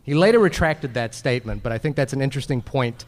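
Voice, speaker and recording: A man's voice: mid-range voice, lively intonation, quick pace, long reverb tail. A man co-hosting a film podcast as a live panel at a convention, addressing the audience with frequent restarts.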